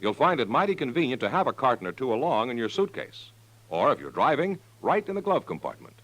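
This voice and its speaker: A man's voice: mid-range voice, very animated, fast talker, fairly bright tone, no echo, low-quality recording. A man announcing and advertising on 1950s radio with a polished, emphatic, scripted delivery. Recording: vintage radio recording.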